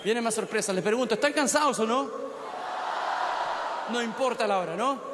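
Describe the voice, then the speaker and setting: A man on a large open-air stage at night, talking into a handheld mic and hyping the crowd with short, excited exclamations between songs. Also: extremely high pitch, brisk, very noisy. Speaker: singer and stage host. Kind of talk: live concert stage banter. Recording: echoey live arena sound, loud band. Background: loud live band.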